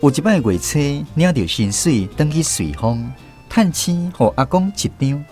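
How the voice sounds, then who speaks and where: A man's voice: fairly high pitch, big pitch swings, moderate pace, a little mellow, soft background music. A man with a Taiwanese accent narrating a scripted first-person story as a radio drama storyteller.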